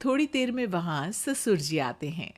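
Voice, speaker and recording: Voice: medium pitch, very animated, normal speed. A narrator reading a scripted moral bedtime story.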